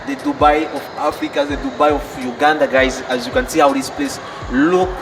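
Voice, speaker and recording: A man's voice: mid-range voice, little pitch movement, fairly quick, big-room sound, recorded from a distance, noisy background. A Congolese man with a Congolese accent hosting a travel vlog, enthusiastic and talking to his viewers. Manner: enthusiastic, addresses viewers as guys. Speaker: vlog host. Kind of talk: casual travel vlog chat.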